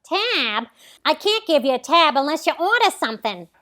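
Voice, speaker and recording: A woman's voice: high-pitched, very animated, normal speed, sharp tone, heavily acted. A woman playing a curt, grumpy 1950s diner counterman in a comedic table read. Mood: gruff, impatient.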